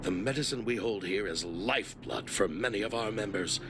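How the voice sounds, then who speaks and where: A man's voice: on the low side, normal speed, noisy background, natural voice. An actor playing a smug, scheming male president of a shady aid society in a game cutscene, mocking and sly. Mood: sneering. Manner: mocking, sly, swings between sneering and bluster. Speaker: villain. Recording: game score music behind.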